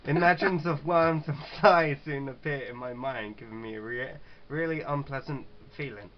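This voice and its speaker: A man with a middling-pitched voice reads visual novel narration out loud. He stumbles, rereads phrases and slips in casual swearing. The sound is dull and lo-fi, with faint noise behind him. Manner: halting reader who stumbles and rereads. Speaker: gaming video host.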